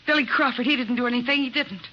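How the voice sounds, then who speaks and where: A woman playing a frightened young accomplice in a 1950s radio drama, shrill and pleading. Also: fast, pushed and bright. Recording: muffled old radio broadcast with hiss.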